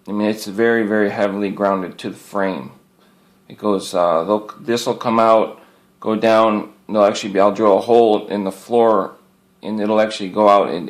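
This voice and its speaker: Folksy man casually showing off gear. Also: on the low side. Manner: folksy, plainspoken, rambling with repeated phrases. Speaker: hobbyist presenter showing radio antenna gear. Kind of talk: casual product show-and-tell.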